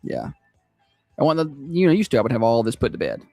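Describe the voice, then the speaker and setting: A man with a high-pitched Southern accent, hosting a morning radio show in casual, self-deprecating chat. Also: wildly swooping pitch, fast talker, creaky, dark tone, no echo, faint music behind. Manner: chatty, self-deprecating, laughs easily. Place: radio studio.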